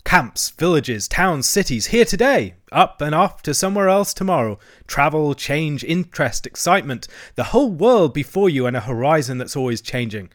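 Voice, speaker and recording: A man voicing a boastful, excitable male toad in a storybook, with a pompous, theatrical manner. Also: mid-range voice, normal speed, close to an everyday voice. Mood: excited, boastful. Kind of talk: storybook character acting.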